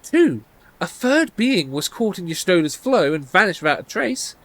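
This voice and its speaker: A man's voice: high voice, very animated, normal speed, a bit bright, full character voice. A man voicing an earnest scholarly researcher from a fantasy game, formal and precise as theories are laid out. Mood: earnest, explanatory.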